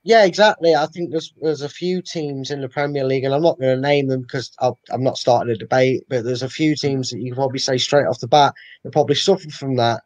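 A man's voice: mid-range voice, fairly even intonation, fast, few pauses, a little mellow, no room sound. A young man giving emphatic opinions in a casual football chat as a fan channel co-host. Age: young adult. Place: living room at home, indoors. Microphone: video call, webcam. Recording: dull lo-fi video call audio.

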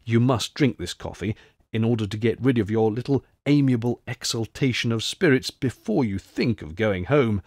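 A man voicing a boisterous, crafty old French ex-soldier in an audiobook, all exclamations and flattery. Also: medium pitch, a little slow, no room sound. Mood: confidential. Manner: blustering, exclamatory, drops into confidential tones. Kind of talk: audiobook character voice.

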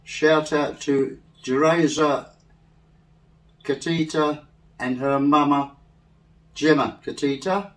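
A middle-aged English man reading shout-outs slowly and carefully in a casual home vlog, seated on a living-room sofa. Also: a little high, steady tone, far from the mic, poor audio. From England. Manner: slow, soft-spoken, careful over names. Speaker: vlog co-host. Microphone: far camera.

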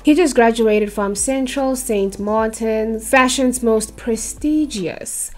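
A woman's voice: fairly high pitch, slow. Bubbly young woman vlogging at her desk. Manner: bubbly, talks to viewers with frequent "okay?". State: losing her voice. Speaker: YouTuber. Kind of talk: casual chatty vlog. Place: small bedroom. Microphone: desk microphone.

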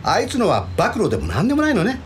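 A man playing a wildly animated, playful actor. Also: fast, a little hiss or hum. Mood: breezy, admiring. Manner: theatrical, swinging between low murmurs and high whines. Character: playful middle-aged male villain actor weary of hatred. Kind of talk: radio drama dialogue.

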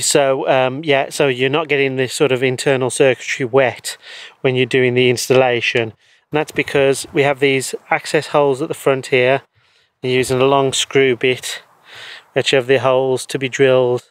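Hoarse man explaining a charger install. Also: medium pitch, dry recording. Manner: relaxed, explanatory, with frequent ums and so's. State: hoarse, losing his voice. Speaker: EV charger installer and channel host. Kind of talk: hands-on installation demo. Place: outdoor garden beside a wooden shed.

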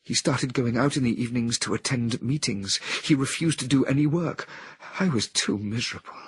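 A man's voice: mid-range voice, normal speed, slightly rough, pitched above the performer's own voice. A man voicing a frightened woman speaking in anguish under hypnosis, in an audiobook reading. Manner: anguished, sighing.

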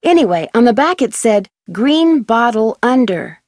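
A curious young American woman detective from a video game. Her voice sits a little high. She speaks politely, in a dry studio-clean recording. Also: very animated, a little slow, a little mellow, no echo. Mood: curious, friendly. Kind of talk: video game character dialogue.

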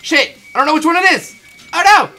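An excitable, sweary player bantering casually through a video game fan dub. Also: sits high, a little fast. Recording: game music behind the voice. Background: video game music.

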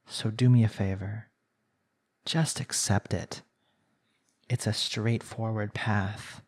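Man acting a smug, slow-talking flirtatious villain. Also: lowish voice, fairly even intonation, a little mellow, husky. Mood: menacing, possessive. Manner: teasing, condescending, unhurried pet-name sweet talk. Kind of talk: intimate ASMR roleplay.

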